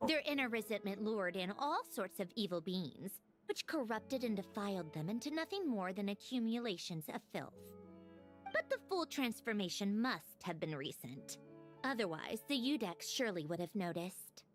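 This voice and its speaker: A cool, self-assured female agent, as an actress plays her, calm and faintly smug. Her tone rises and falls freely at a middling pitch. Soft game music sits behind her. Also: normal speed, natural voice. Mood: explaining. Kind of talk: game cutscene voice acting.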